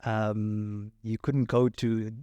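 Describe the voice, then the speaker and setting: A man's voice: lowish voice, level tone, very slow, mellow, no echo, dull audio. A middle-aged man with a Southern African accent, chatting casually as a podcast guest and telling his own story. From Cape Town and Namibia. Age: 40s or 50s. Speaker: podcast guest, sound engineer.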